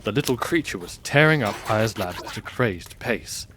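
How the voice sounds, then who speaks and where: A man narrating a scripted fantasy audio drama in a measured, expressive storybook style. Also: fairly low pitch, background noise. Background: music.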